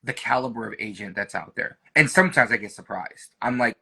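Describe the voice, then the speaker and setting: A man's voice: lowish voice, brisk, gravelly, bright, forceful tone, slightly rough. A man with an American accent co-hosting a casual live stream chat, talking in a rambling, conversational way with frequent check-in tags. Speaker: real estate team leader, co-host.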